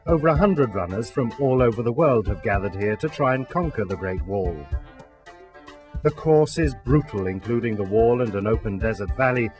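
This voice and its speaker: Male narrator, mid pitch, measured sports-documentary read, faint music bed. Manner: steady, measured delivery.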